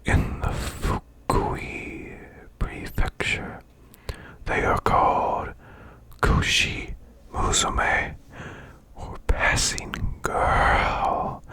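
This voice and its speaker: A man voicing a demon boss who reads eerie folklore as bedtime ASMR in a slow, hushed, growling rasp with long pauses. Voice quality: growling, gravelly, breathy rasp.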